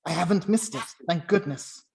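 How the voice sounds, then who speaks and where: A man's voice: sits high, normal speed, vocal fry, a little mellow, pitched above the performer's own voice. A man playing a reformed old miser in an amateur radio play, exclaiming with hearty, emphatic generosity. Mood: overjoyed, eager.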